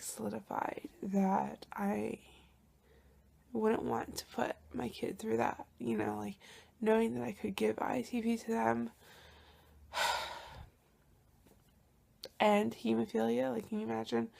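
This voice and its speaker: A young woman talking softly in a personal video diary, often hushed and breathy, with sighs between phrases, sounding congested from a cold. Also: on the high side. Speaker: vlogger.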